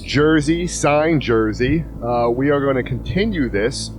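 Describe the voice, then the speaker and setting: A man's voice: medium pitch, a little slow, faint music behind. A man co-hosting a podcast outdoors in freezing weather, bantering, laughing and swearing as he wraps up the show. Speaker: podcast co-host. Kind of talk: casual joking banter.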